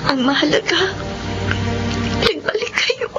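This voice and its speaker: Very high-pitched Filipino daughter, muffled. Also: female voice, expressive, slow pace, over music, full character voice. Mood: tearful, forgiving. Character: forgiving, tender young adult daughter. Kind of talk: Filipino melodrama acting.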